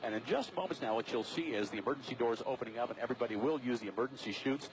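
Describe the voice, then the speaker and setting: An American man reports live as breaking news from a helicopter. He talks quickly in a mid-range voice, with a steady layer of aircraft noise under his commentary. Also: mid-range voice. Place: aboard a news helicopter in flight. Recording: steady aircraft noise, outdoor broadcast feed.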